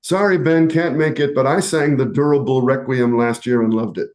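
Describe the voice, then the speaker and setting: A man's voice: medium pitch, moderate pace, darkish tone. A man who acts and sings in a chorus, telling wry, teasing stories in a casual group discussion. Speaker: cast member and chorus singer.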